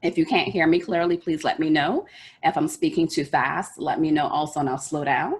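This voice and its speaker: A woman from the Bahamas with a Bahamian accent leads a motivational lesson on life goals. Her voice sits low and deep. She talks earnestly and directly, and her pace often runs fast. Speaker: life coach teaching a session. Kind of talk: motivational teaching talk.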